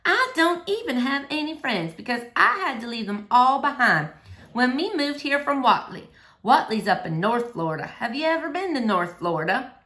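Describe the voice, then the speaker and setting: A woman's voice: high voice, sing-song, normal speed, bright, forceful tone, heavily acted. A woman voicing an earnest, wistful ten-year-old girl who confides plainly and eagerly in a storybook reading. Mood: confiding, wistful.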